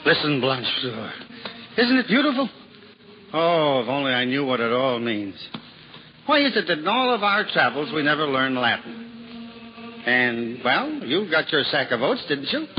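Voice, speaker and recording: A man's voice: mid-range voice, sing-song, normal speed, lightly acted. A man in a 1950s radio drama playing a simple, humble traveling juggler who talks to himself in wonder. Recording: lo-fi old radio broadcast, faint hiss.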